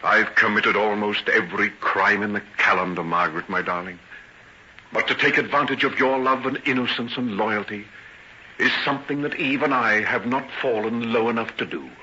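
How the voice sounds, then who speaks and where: A genteel, tormented doctor with a wry, teasing streak, in old-time radio style. The voice is low and very sharp, theatrically phrased. The recording is dull, an aged radio broadcast. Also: male voice, moderate pace. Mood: anguished, tender. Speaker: actor in a radio serial. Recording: dull, faintly noisy vintage radio recording.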